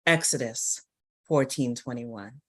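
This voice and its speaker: A woman giving a deliberate, measured literary reading of scripted narration and poetry. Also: very deep voice.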